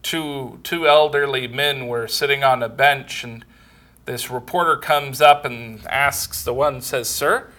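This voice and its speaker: A man's voice: fairly even intonation, measured pace, pushed and bright. A man in his forties preaching a sermon fervently, building up as he speaks. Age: 40s. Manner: fervent, building in pitch and volume.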